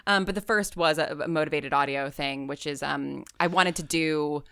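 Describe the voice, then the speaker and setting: A woman talking casually as an interview guest, animated and often restarting her phrases as she explains her creative work. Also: low-pitched, normal speed, gravelly, clean tone, no room sound, studio quality. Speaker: guest, audio fiction writer and creator.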